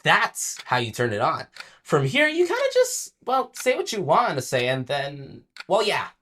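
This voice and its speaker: A man's voice: a little high, wildly swooping pitch, unhurried, fairly bright tone, a little hiss or hum, heavily acted. A man playing a cheeky, teasing cartoon fox with a casual, bouncy manner in a radio drama.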